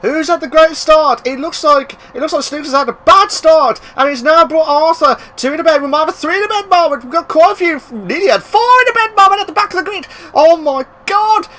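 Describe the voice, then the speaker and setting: Man, race commentator, high voice, bright and sharp, excitable, loud outbursts. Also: a little fast, few pauses. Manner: excitable, bursts into loud exclamations. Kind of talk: live esports racing commentary.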